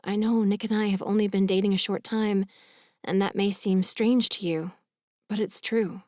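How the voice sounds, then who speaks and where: A woman's voice: medium pitch, steady tone, moderate pace, a little mellow, no echo, clean studio sound, lightly acted. A woman voicing a headstrong young woman in love, insistent and emotional, in an audiobook. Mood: earnest, tender. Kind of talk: audiobook character dialogue.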